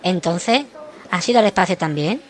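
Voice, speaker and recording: A woman's voice: fairly low pitch, expressive, moderate pace, a faint crowd, natural voice. A woman playing a naive, good-natured family man in a comic radio play, with an accent from Spain and an exclamatory delivery. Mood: friendly, curious. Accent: Spanish (Spain).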